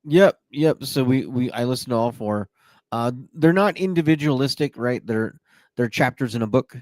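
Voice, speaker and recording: A man's voice: mid-range voice, animated, normal speed, darkish tone, dry recording. Playful chatty man on a podcast. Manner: chatty, playful, reads out listener comments. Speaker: podcast co-host. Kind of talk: casual podcast chat.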